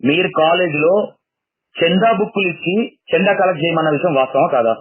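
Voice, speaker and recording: Husky man interrogating fast over the phone. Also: on the high side. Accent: Telangana Telugu. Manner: confrontational, interrogating, repeats his questions. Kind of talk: heated phone argument. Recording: phone line, leaked call audio.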